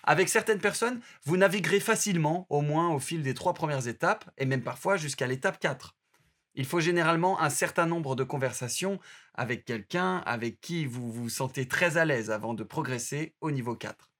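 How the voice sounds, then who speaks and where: A man reading an audio course aloud in a steady, instructive way. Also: fairly high pitch, moderate pace. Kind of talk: scripted narration.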